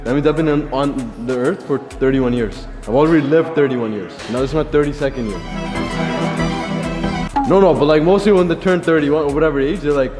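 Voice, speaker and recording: A man's voice: fairly high pitch, fairly quick, long reverb tail, over music. A man in his thirties hosting a gym vlog, rambling casually and explaining his training plan. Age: 30s. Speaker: vlog host. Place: gym, indoors.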